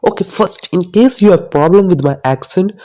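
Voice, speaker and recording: A man's voice: high voice, normal speed, dark tone. A young man from Asia, a student YouTuber, giving a casual explainer monologue with a chatty, self-mocking teacher tone.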